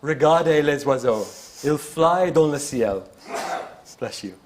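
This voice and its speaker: A man's voice: on the high side, unhurried. Man reading a playful poem. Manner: playful, lively reading with laughs. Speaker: event poet.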